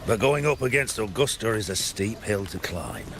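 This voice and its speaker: An actor playing a seasoned, steady, wry hunter in a video game, with a matter-of-fact, emphatic delivery. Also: male voice, lowish voice, normal speed, noisy background. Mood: wry, measured.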